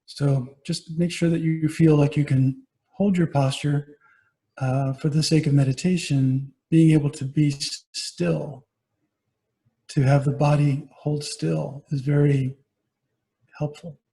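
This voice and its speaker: A man guiding a meditation as a teacher, giving gentle, unhurried instructions with pauses between phrases. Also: on the high side, little pitch movement, creaky, low-quality recording. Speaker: meditation teacher, Buddhist monastic. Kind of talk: guided body scan meditation.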